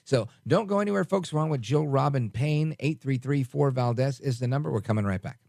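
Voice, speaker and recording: A man's voice: medium pitch, animated, fairly quick, vocal fry, a little mellow, no echo, studio quality. A man in his forties hosting late-night talk radio, chatting casually with many restarts. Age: 40s. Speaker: radio talk show host.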